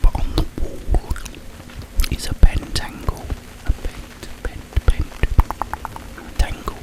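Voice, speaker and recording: A man hosting ASMR, rambling through a story in a hushed, halting delivery with long pauses. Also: rough recording. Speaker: ASMR host. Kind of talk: whispered ASMR ramble, retelling a film.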